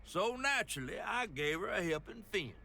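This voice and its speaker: A man voicing a sly talking catfish in a video game, telling stories in a folksy, gravelly way. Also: high-pitched, big pitch swings, normal speed, bright, forceful tone, a little hiss or hum, heavily acted. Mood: wry, reminiscing. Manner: folksy, drawling storytelling.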